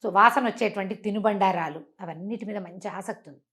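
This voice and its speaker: A woman's voice: medium pitch, brisk, a bit bright. A woman telling a bedtime folktale as a storyteller, in a conversational way with phrases repeated for effect. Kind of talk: oral bedtime folktale narration.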